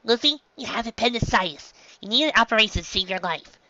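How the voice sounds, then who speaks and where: A woman's voice: fairly high pitch, expressive, fast, pushed and bright, lo-fi sound, pitched above the performer's own voice. A woman voicing a small, caring but firm reindeer doctor in a story reading, speaking earnestly and emphatically. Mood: reassuring.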